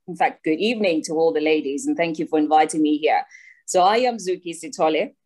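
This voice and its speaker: Measured woman with a low voice. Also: darkish tone. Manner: measured, articulate. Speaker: panelist, lawyer. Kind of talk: formal self-introduction.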